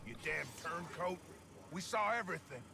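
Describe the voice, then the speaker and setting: Gruff-tempered company man from a space western game, sharp forceful tone, loyal and wary. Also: fairly high pitch, lively intonation, normal speed, exaggerated voice. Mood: angry, threatening. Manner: folksy, forceful. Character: angry, wary frontier company foreman, man, stubborn and loyal. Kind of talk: dramatic video game dialogue.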